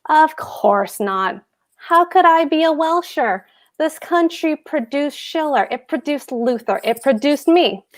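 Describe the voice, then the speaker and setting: A woman's voice: high voice, lively intonation, measured pace. A woman playing a pompous, boastful German landlord and husband in a table read of a play. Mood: pompous, hearty. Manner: pompous, emphatic.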